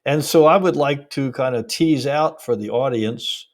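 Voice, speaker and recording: A man hosting an interview podcast, talking conversationally in a measured, deliberate manner. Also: medium pitch. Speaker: podcast host, executive coach.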